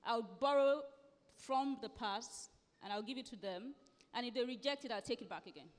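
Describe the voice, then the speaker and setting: A woman's voice: a little high, moderate pace. Nigerian woman author in an echoey hall. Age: late thirties to forties. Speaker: guest author at a book festival. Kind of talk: live on-stage interview, conversational. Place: live festival event hall. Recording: echoey hall with long reverb.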